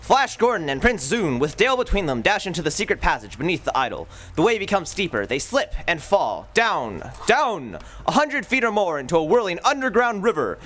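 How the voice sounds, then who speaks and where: A man narrating an old-time radio serial with booming theatrical delivery. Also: big pitch swings, a little fast, few pauses, a bit bright. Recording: live stage recording with background noise.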